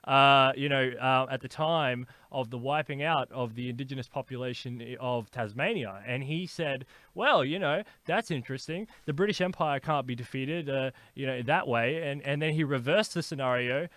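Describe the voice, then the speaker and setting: A man hosting a podcast interview, asking long, animated questions with frequent stammers and restarts. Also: mid-range voice, moderate pace, hardly any pauses, dry recording. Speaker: podcast host.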